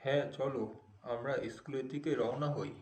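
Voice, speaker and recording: A man voicing a gentle, devout father in an Islamic cartoon, patient and didactic, in a roomy echoing recording. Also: mid-range voice, steady tone, moderate pace, lightly acted. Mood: kindly. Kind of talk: Islamic cartoon voice acting, moral lesson.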